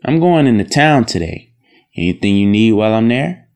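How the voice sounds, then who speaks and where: An actor playing a smooth-talking, reassuring husband in an audio drama. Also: male voice, on the low side, animated, moderate pace, darkish tone. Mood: casual. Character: smooth-talking, placating husband and farm worker.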